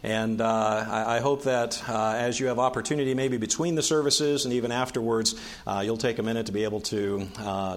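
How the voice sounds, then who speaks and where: A man at a church service introduces camp staff and gives a short devotional. His voice sits at an ordinary middle pitch, and his delivery is earnest, measured and pastoral.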